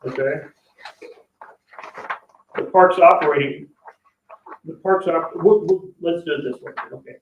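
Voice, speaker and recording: A man speaking as an official at a public meeting, explaining finances in a halting, plain-spoken way and often checking that listeners follow. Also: a little high, gravelly, a little hiss or hum. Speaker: parks board official presenting the budget. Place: indoor public board meeting room. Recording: echoey meeting room, distant dull mic.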